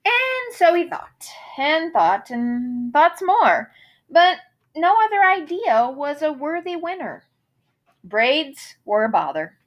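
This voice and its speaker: Slow, high-voiced woman reading a storybook expressively. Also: sing-song. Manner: theatrical, savoring alliteration and rhyme, dramatic emphasis. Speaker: storybook reader. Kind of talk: expressive children's picture book read-aloud.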